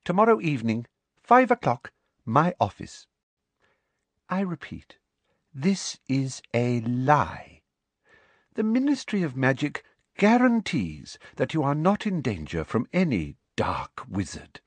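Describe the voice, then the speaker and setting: A man's voice: mid-range voice, very animated, slow pace, dry recording, voice pushed up, full character voice. A man voicing a smug, sickly-sweet middle-aged female teacher from a storybook, with a honeyed trill and a precise, dismissive manner. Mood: triumphant, falsely sweet. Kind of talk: audiobook character voice.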